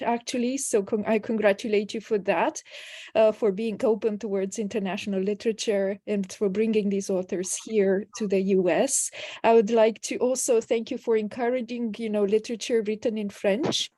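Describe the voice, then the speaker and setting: A woman's voice: mid-range voice, steady tone, moderate pace, no echo, studio quality. A woman from Romania, hosting a video call and giving enthusiastic thanks and announcements. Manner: enthusiastic, warm thanks with frequent fillers. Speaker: host from the organizing cultural institute.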